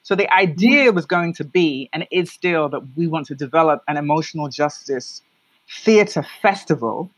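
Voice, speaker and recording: A woman with a London British accent telling stories as an interview guest, in an expressive, emphatic conversational way. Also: sits low, moderate pace, no echo, poor audio. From London, England. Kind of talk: reflective conversational storytelling.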